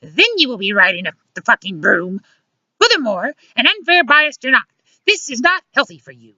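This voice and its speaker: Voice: medium pitch, very animated, normal speed, a bit bright, no room sound, muffled recording, pitched above the performer's own voice. A narrator voicing an exasperated, bossy woman who lectures sharply in formal wording, in an audiobook story. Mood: fierce retort. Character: exasperated, scolding adult woman, fierce and bossy lover.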